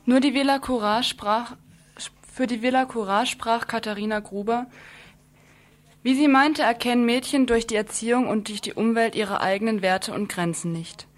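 A woman's voice: on the high side, normal speed. A woman presenting a scripted radio news report in an earnest manner, now and then stumbling over a word and starting again.

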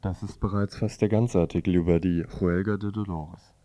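A man's voice: deep voice, steady tone, moderate pace, dark tone, dry recording. A man presenting a scripted magazine review on the radio as narrator.

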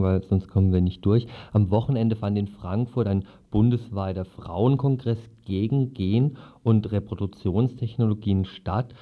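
A man presenting radio news live in an informal, conversational style. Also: on the low side. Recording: narrow, phone-like radio sound.

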